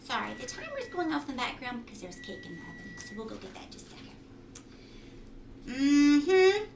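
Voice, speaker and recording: A woman's voice: on the high side, huge pitch swings, rapid-fire, vocal fry, a bit bright. A woman reading a children's storybook aloud like a teacher, explaining and checking in with listeners with questions. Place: at home indoors. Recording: roomy home recording, distant mic, faint noise.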